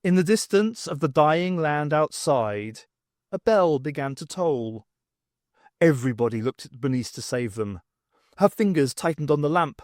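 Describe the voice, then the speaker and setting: A man's voice: on the high side, lively intonation, a little slow, darkish tone, no room sound, studio quality. A man reading scripted dramatic narration for an audiobook.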